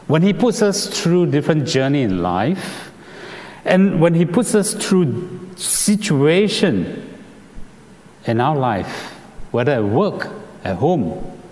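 Slow-speaking Singaporean preacher in a hall. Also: male voice, a little high, sing-song, a little mellow. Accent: Singaporean English. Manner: measured, explanatory, with "uh" fillers. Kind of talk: sermon.

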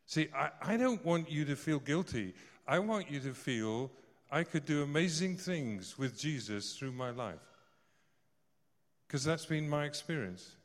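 A man's voice: a little high, expressive, unhurried. Man in his sixties preaching in an echoing hall. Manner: storytelling with joking asides. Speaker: visiting preacher. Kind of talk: sermon. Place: church service hall. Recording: long reverb of a large hall.

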